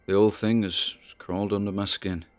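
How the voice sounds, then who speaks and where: An actor playing a spooked man who rambles haltingly and nervously as he records private audio logs in an audio drama. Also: on the low side, little pitch movement, darkish tone, clear, resonant tone, soft background music, close to an everyday voice. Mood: uneasy, weary. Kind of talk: dramatic audio-log monologue.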